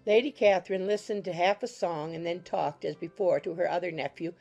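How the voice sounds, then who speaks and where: A woman narrates an audiobook in a literary, scripted style. Her voice is fairly low with noticeable vocal fry, and gentle music plays behind it. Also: fairly quick.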